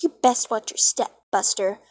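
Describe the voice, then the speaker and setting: A woman voicing a gentle female pegasus pony turned boldly protective, scolding indignantly, in an amateur story reading. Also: mid-range voice, normal speed, dry recording, voice pushed down, lightly acted.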